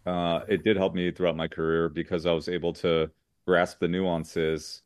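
An American man from Southern California, a lawyer speaking as an interview guest, earnest and measured with many fillers. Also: deep voice, little pitch movement, clear, resonant tone, no room sound, clean studio sound. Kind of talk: interview conversation.

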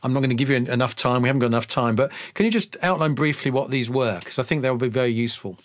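Male radio host, quick talker, mid pitch, dry close sound. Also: no room sound. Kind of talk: radio interview.

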